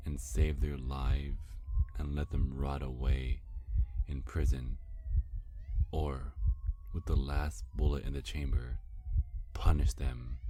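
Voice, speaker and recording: A man's voice: extremely low pitch, mellow, a little hiss or hum. A man narrating a scripted second-person story for an ASMR roleplay, over street ambience.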